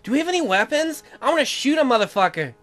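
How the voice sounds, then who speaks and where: A man's voice: very high voice, very animated, quick pace, fairly bright tone, faint music behind. A man giving casual, irreverent and crude joking commentary as a let's play host.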